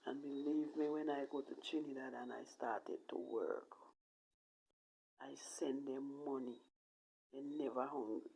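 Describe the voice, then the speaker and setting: A woman with a Jamaican accent telling a personal story casually in patois, in a halting, emphatic way that repeats phrases. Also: a bass voice, fairly even intonation, gravelly, mellow, slightly rough, muffled recording. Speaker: vlogger telling own life story.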